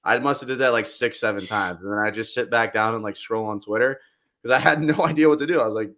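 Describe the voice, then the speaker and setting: Loud young man, casual fast chat. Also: on the low side, slight background noise. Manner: animated, quick bursts, lots of "like". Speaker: podcast guest.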